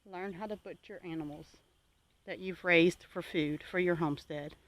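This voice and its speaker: A homesteading channel host gives viewers advice in an earnest, exhorting and deliberate way. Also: mid-range voice, fairly even intonation, a bit bright, no echo.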